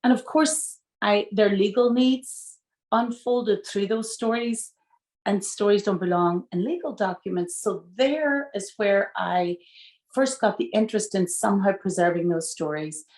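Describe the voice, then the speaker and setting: A woman, a lawyer telling stories warmly on a video call from home. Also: medium pitch, measured pace, echoey room. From Northern Ireland. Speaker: estate planning lawyer, guest. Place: home room with shelves. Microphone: video call webcam.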